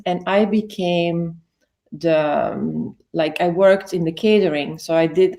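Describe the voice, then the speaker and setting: A woman's voice: on the low side, warm tone. A woman, telling her life story as an interview guest in a chatty way, often correcting herself. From Belgium. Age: 50s. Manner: chatty, self-correcting, many "like" fillers.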